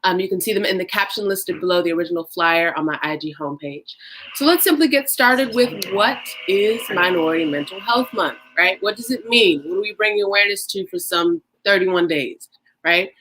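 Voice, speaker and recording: Bright upbeat woman hosting a podcast. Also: medium pitch, poor audio. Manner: upbeat, chatty, drawn-out emphasis. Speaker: podcast host. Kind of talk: casual podcast hosting on a livestream.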